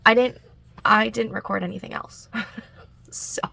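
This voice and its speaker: Congested chatty woman vlogger, slow and gravelly. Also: a little high, pushed and bright, no room sound. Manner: chatty, frequent fillers and little laughs. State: congested, nasal. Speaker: writing vlogger. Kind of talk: casual chatty vlog.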